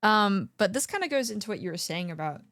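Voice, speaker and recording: A woman's voice: mid-range voice, expressive, fast talker, a bit bright, no echo, studio quality. A woman streamer hosting a casual, conversational interview chat.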